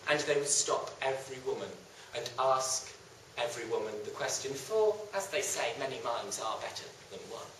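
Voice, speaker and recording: A storyteller giving theatrical scripted narration, with dramatic, drawn-out emphasis. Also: medium pitch, animated, a bit bright. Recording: echoey room, distant mic, faint noise.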